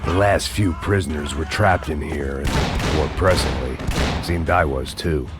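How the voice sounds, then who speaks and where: An actor playing a world-weary, cynical middle-aged American ex-cop, narrating a noir crime game with sardonic bite. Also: male voice, rumbling low, measured pace. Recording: loud music behind the voice. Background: loud game music.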